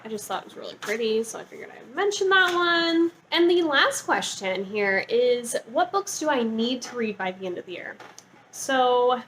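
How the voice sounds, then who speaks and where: High-voiced woman chatting about favorites, noisy background. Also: expressive, moderate pace, a bit bright. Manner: informal, gushing, peppered with "like". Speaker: book vlogger. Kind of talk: casual chatty book review to camera.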